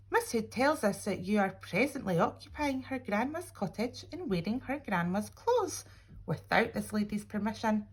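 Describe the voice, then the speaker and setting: A stern storybook lawyer, voiced by a woman speaks in formal, officious terms. The admonishing voice is fairly high and slightly husky, with wide swings in pitch. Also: a bit bright, full character voice. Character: stern formal lawyer writing on a client's behalf.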